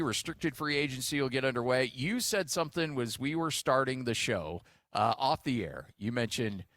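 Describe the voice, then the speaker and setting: An American man hosting sports radio, bantering casually and telling stories in a jokey, animated way. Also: mid-range voice.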